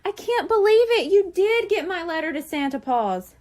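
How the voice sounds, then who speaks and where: A woman voicing an eager, excitable little mer-kitten boy in a children's storybook, exclaiming breathlessly. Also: extremely high pitch, moderate pace, voice pushed up. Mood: thrilled.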